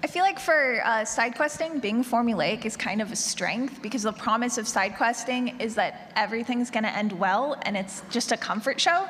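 A woman's voice: fairly high pitch, expressive, moderate pace, hardly any pauses, a bit bright. A woman speaking casually as a panelist and podcast creator. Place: large room at a convention panel. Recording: echoey hall, clear background noise.

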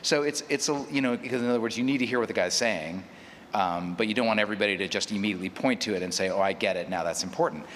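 A man with a fairly low voice speaks as a panelist, working out his thoughts aloud and qualifying them. The hall adds long reverb, with faint noise underneath. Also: racing, fairly bright tone. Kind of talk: unscripted panel discussion.

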